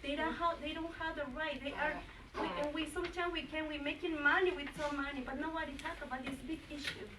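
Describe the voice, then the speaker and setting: A woman, English her third language, giving halting, emotional testimony at a community forum. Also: high voice, level tone. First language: Nahuatl. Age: 40s. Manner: halting, searching for words. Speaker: forum speaker. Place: community center meeting room. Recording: echoey room, far mic, noisy.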